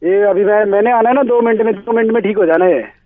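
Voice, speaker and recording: A boastful Delhi tough guy acted out by a man blusters in a high male voice over a tinny phone line. He swaggers and threatens in street slang, cutting in as he goes, for comic effect. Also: fast talker, no room sound, lightly acted. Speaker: radio prank caller. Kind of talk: comic prank phone call.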